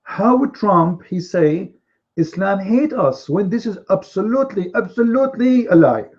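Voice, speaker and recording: A man's voice: high-pitched, lively intonation, darkish tone, highs cut off. Emphatic man, Arabic native speaker. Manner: emphatic, repetitive, drawn-out stresses. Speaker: online show host. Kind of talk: argumentative live commentary.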